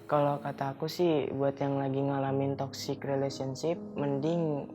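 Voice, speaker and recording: A teenage man answers casually in an interview. Music plays clearly behind his voice. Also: medium pitch, flat delivery, normal speed. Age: teens. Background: background music.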